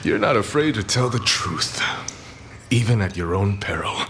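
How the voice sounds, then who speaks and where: An actor playing a commanding, condescending boss in a radio play. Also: male voice, fairly low pitch, expressive, moderate pace, a bit bright, slight background noise. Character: domineering male boss.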